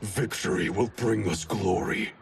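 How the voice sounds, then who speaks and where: A man's voice: fairly low pitch, unhurried, slight background noise. An actor playing a proud, arrogant young male ninja clan grand master in a video game, speaking in a cold, haughty, dismissive way. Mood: ambitious.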